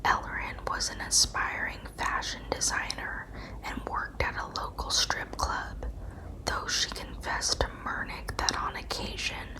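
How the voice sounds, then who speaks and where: A woman narrating a scripted true crime story as whispered ASMR, in a measured storytelling delivery. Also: rough recording.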